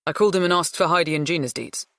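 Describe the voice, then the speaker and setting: Upbeat, coaxing husband-to-be for an audiobook, played by a woman. Low voice, dry sound. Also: rapid-fire, a bit bright, no echo. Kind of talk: audiobook character dialogue.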